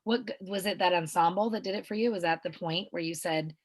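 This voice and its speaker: A woman's voice: fairly low pitch, a little fast. A woman interviewing someone casually, in an informal, enthusiastic way.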